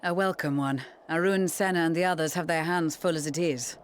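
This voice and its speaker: An actor voicing a composed, dutiful leader in a fantasy game, speaking formally and with measured reassurance. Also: medium pitch, a little hiss or hum, lightly acted. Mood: calm, grave. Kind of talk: scripted fantasy game acting.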